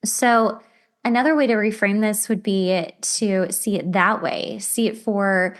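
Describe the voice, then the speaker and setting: A woman's voice: medium pitch, steady tone, a little slow. A woman hosting a podcast, explaining self-help ideas in a casual, conversational way with imagined everyday examples. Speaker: podcast host.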